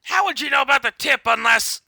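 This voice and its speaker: A man's voice: very high voice, lively intonation, fairly quick, vocal fry, loud music behind the voice, full character voice. A man voicing a stern, vengeful, brooding male hedgehog antihero in a cartoon comic dub, intense and accusing.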